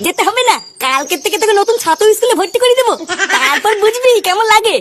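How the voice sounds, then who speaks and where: Woman as a squeaky, belligerent layabout dad. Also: animated, fast talker, fairly bright tone, a little hiss or hum. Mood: irritated, threatening. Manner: abusive, grumbling, belligerent. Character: foul-mouthed, resentful, scheming layabout young father. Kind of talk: comedy cartoon voice acting.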